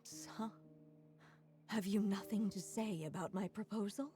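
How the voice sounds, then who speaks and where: A woman's voice: mid-range voice, music in the background, close to an everyday voice. A woman voicing a solemn alchemist. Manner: measured, formal and earnest. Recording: game score loud behind.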